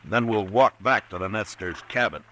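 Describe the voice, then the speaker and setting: An actor playing a steady, firm frontier marshal in an old radio drama, speaking in terse, measured lines. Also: male voice, fairly low pitch, a little hiss or hum. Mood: commanding. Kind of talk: 1950s radio drama acting.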